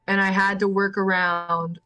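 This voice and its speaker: A woman's voice: medium pitch, level tone, a little slow, pushed and bright. A young woman, a band's singer, telling stories casually in a call interview. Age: young adult. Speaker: band vocalist and clarinetist.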